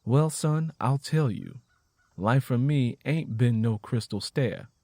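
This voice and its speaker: Man voicing a weary, slow-talking Southern mother. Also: mid-range voice, darkish tone, no echo, studio quality. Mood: weary, encouraging. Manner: slow, earnest recitation. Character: weary, resilient Southern mother advising her son.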